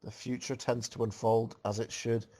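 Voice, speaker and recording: A man voicing a philosophical pony mare narrator, solemn and moralizing, with a measured, sententious delivery in an amateur comic reading. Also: medium pitch, little pitch movement, warm tone, natural voice. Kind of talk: amateur comic fan fiction read-aloud.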